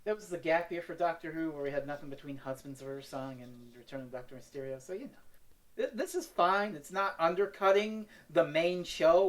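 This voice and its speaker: A woman podcast co-host with a slightly low voice and big swings in pitch. She banters casually about what she reviews, sardonic and playfully theatrical.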